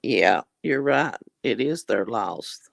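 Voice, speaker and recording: Slow, gravelly woman losing her voice. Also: a bass voice, lively intonation, dry recording, studio quality. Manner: folksy, calls viewers honey and darlings; religious, often says Lord have mercy. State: losing her voice, clearing her throat. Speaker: vlogger reading viewer comments. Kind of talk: casual live chat.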